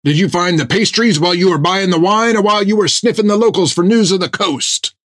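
A man's voice: sits high, a little fast, fairly bright tone, dry recording, clean studio sound, pitched above the performer's own voice. A man doing a blunt doubting sailor. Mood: skeptical. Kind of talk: audiobook character voice.

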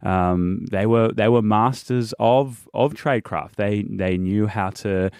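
A man with a lowish voice talks through his investigations in a loose, chatty way, doubling up words as he goes. The sound is close and dry, with no room around it. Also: takes it slowly, darkish tone, smooth, no echo. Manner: chatty, restarts words, laughs between points. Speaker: guest investigative journalist. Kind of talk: casual podcast chat.

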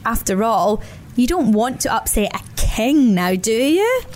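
A woman's voice: on the high side, big pitch swings, measured pace, a little mellow, a little hiss or hum. A woman voicing a small, nervous female rabbit who speaks hesitantly and pleadingly.

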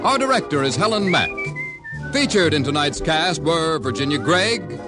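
A man's voice: a little high, animated, moderate pace. A man with an American accent announcing and reading ads in the style of 1950s radio. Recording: old radio broadcast transcription. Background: prominent music.

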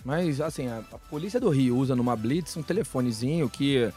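A man co-hosting a casual technology podcast, relaxed and joking, giving everyday examples. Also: mid-range voice, fairly quick, faint music behind. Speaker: podcast co-host. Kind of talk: casual tech podcast chat.

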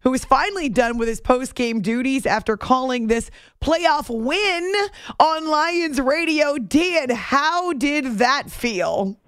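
A woman's voice: sits high, lively intonation, slow pace, a bit bright, clean tone, no room sound, studio quality. A woman hosting a sports radio show and interviewing a guest.

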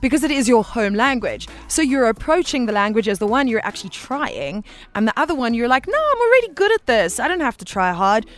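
A woman's voice: on the high side, very animated, a little fast, few pauses. A woman hosting a radio call-in show, chatty and affectionate with callers. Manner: warm, chatty, calls listeners baby. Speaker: radio host.

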